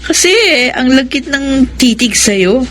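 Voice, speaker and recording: High-voiced, gossipy best friend. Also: female voice, unhurried. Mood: teasing. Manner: chatty, expressive. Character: teasing, gossipy young female best friend. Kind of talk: radio drama acting. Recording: clear background noise.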